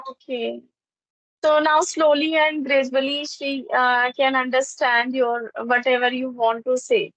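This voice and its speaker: High-pitched woman with a North Indian accent. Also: little pitch movement, measured pace, a bit bright, no room sound, low-quality recording. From northern India, raised in Chandigarh. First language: Hindi. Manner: simple non-native English with repeated phrases. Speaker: English conversation teacher. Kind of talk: casual English practice conversation.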